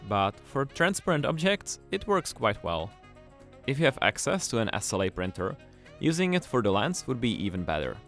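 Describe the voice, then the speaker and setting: A man presenting a scripted tutorial, explaining things clearly and with enthusiasm. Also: medium pitch, a bit bright. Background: music.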